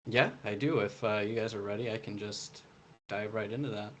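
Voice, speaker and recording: A man's voice: fairly low pitch, steady tone, normal speed, a bit bright. A man, an author speaking and reading his own story aloud. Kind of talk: reading a prose passage aloud, with some informal introduction.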